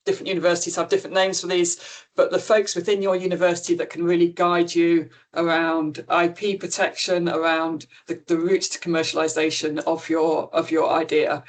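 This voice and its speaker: A woman hosting a funding webinar over a video call, explaining the rules in a relaxed, chatty way with frequent ums. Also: on the low side. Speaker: webinar host and principal investigator. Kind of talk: informal briefing.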